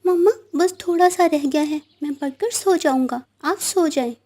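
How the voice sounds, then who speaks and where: A woman plays a little schoolboy bird in a children's cartoon, very high and soft-toned. The young bird is sweet and earnest, an eager child who talks to please. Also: normal speed. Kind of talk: children's cartoon story acting.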